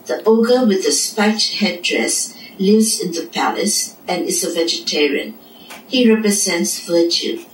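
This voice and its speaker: A woman presenting a puppet show, reading scripted narration that introduces the dances. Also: on the low side. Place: indoor puppet theatre, heard from the audience. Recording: distant, echoey room with noise.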